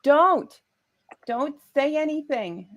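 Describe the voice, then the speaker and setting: A woman's voice: high voice, lively intonation, slow. A woman co-hosts a live reaction stream, joking playfully and giggling. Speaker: livestream co-host. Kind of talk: casual live reaction chat.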